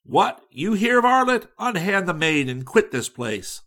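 A man voicing a gruff, domineering old farmer in a comic storybook melodrama, fierce and commanding. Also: sits high, very animated, unhurried, bright, forceful tone, pitched above the performer's own voice, exaggerated voice. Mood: outraged.

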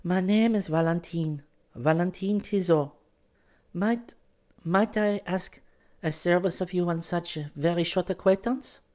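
Man voicing a shy young Frenchwoman, pitched high. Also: a little slow, darkish tone. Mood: hesitant, secretive. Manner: formal, careful, hesitant phrasing. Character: young French woman, shy, secretive, feverish bohemian.

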